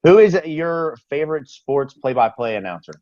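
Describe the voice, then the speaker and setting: A man's voice: on the high side, very animated, moderate pace, a little mellow, dry recording, muffled recording. A man hosting a sports podcast, interviewing his guest in a casual, conversational way.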